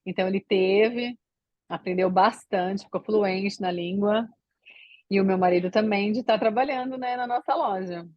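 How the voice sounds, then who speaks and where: A woman from Brazil talks in a relaxed, chatty way as a podcast guest, trailing into fillers and restarts. Her voice sits at a middling pitch. The sound is a bit dull and lo-fi, with a dry, close feel. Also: normal speed, no echo. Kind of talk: casual interview chat.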